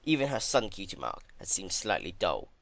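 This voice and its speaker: A man giving a dramatic scripted narration of a dark fantasy story. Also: low voice, very animated, normal speed, creaky.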